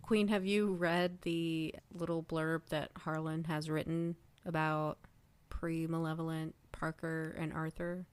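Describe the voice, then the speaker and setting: A woman's voice: deep voice, little pitch movement, unhurried, smooth, no room sound. A woman on a podcast panel, chatting casually as a fan.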